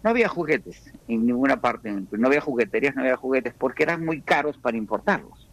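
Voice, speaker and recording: A Peruvian-born man speaking as an economist guest in a radio interview, explaining at a measured pace with frequent restarts. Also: mid-range voice, lively intonation, low-quality recording. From Peru.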